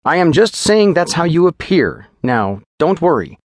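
A man's voice: a little high, big pitch swings, a little slow, dry recording, clean studio sound, voice pushed up. A man playing a rattled middle-aged doctor, speaking in a fussy, clinical and nervously reassuring way.

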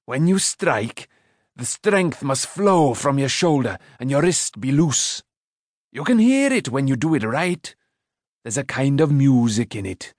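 A man as a gruff, kindly old smith. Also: medium pitch, very animated, a little slow, no room sound, clean studio sound. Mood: instructing. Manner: instructive, wry, commanding. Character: stout, bald old smith, gruff but kindly mentor. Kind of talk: storybook character voice.

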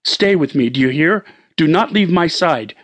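A man's voice: a little high, lively intonation, normal speed, fairly bright tone, pitched above the performer's own voice. A man reading an audiobook, voicing a frantic middle-aged husband who gives urgent, breathless commands while fleeing with his wife. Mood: pleading.

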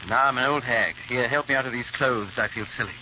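An actor playing a calm, guarded hero who goes about in disguise, in an old radio drama on a muffled, hissy recording. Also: male voice, medium pitch, lightly acted. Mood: relaxed. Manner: measured, guarded, understated. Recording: muffled vintage radio recording, loud hiss.

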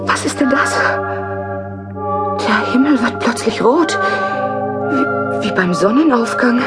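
Alarmed woman, slow and dramatic. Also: on the high side, animated, over loud music. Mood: anxious, bewildered. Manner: dramatic, halting. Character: alarmed, stubborn secretary troubled by nightmarish visions. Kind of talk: German radio play acting.

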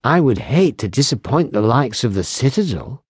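A man reading an audiobook, voicing a lively young woman who works as a barmaid and healer and talks in a brisk, teasing, scolding way. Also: mid-range voice, husky, no echo, studio quality.

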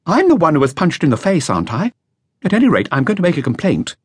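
A man's voice: a little high, wildly swooping pitch, quick pace, darkish tone, slightly rough, dry recording, clean studio sound, pitched above the performer's own voice, heavily acted. A man voicing a nervous, earnest young male flautist telling what he witnessed. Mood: anxious. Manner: anxious, breathless, earnest.

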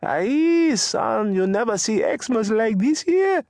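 A man voicing a fierce, toothless mother in a slum shack, scolding in a high, sharp voice. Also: animated, takes it slowly, creaky, dry recording, clean studio sound, full character voice.

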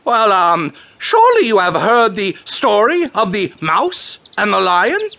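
A quick-witted, deferential slave played by a man in a storytelling show. He is polite and earnest, with a high, very lively voice. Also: creaky, exaggerated voice. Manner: polite, hesitant openings.

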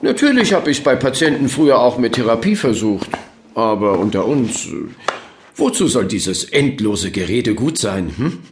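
Smug doctor in a radio play, swoopy patronizing delivery, roomy echo. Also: male voice, medium pitch, moderate pace. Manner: patronizing, breezy, expressive. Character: smug, patronizing male doctor, glib and dismissive. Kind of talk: German audio drama acting. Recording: roomy echo, faint noise.

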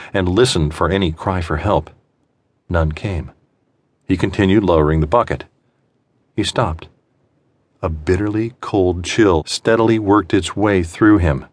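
A man narrating suspense fiction as an audiobook, with measured, deliberate phrasing and dramatic pauses. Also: low voice.

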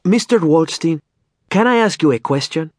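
A man voices a young male technician for an audiobook, deferential and hesitant. The pitch is raised, with little stammers and polite questioning turns. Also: lively intonation, moderate pace, darkish tone, no echo, clean studio sound. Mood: tentative.

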